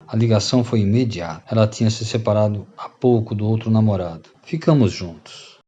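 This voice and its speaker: A man acts out a reformed Brazilian freeloader confessing to old friends in a radio play. His voice is dark and mellow. The recording is slightly dull and lo-fi. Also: on the low side, a little fast, natural voice. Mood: confessional. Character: repentant rogue and former freeloader confessing to friends. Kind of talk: radio drama storytelling.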